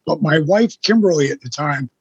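A man in his sixties with a husky voice, a guest telling his story in a blunt, emphatic way with some swearing. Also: on the high side, lively intonation, normal speed, dry recording, highs cut off. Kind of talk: casual storytelling in an interview.

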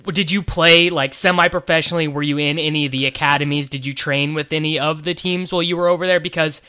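Podcast host, male, bright and fairly high-pitched, eager and rambling. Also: steady tone, fast, smooth, no room sound, studio quality. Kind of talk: casual interview.

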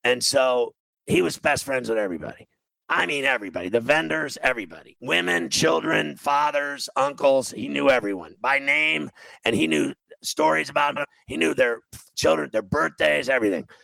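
A man hosts a radio show, talking in a rough, grating, gravelly voice. He rambles and mocks himself through a long story, packing his talk with fillers. The sound is dry and close to the mic. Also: medium pitch, sing-song, moderate pace, sharp tone, no room sound, poor audio. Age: 50s. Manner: rambling, self-mocking, full of fillers.

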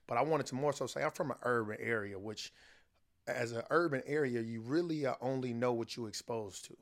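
An American man from Chicago speaks in a medium-pitched voice. His style is casual and conversational, as someone sharing opinions on a podcast. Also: fairly quick. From South Side of Chicago, United States.

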